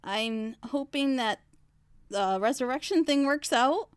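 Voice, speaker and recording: A woman's voice: sits high, expressive, unhurried, clear, resonant tone. A woman hosting a casual, chatty online group reaction show. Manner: nervous. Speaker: animator. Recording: music playing behind the voice.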